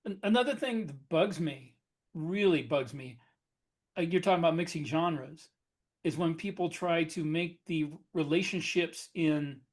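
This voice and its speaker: A man co-hosting a podcast panel, chatting casually with blunt, wry remarks. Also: on the high side. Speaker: podcast co-host, author.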